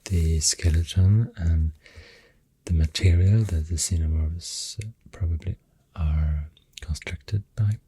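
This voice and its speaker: An ASMR host with a Swedish accent, rambling softly and hesitantly in a breathy voice that often drops to a whisper, close to the mic over pencil sounds. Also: low voice. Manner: hesitant, unhurried musing. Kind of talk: soft-spoken ASMR rambling. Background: pencil drawing and clicking sounds.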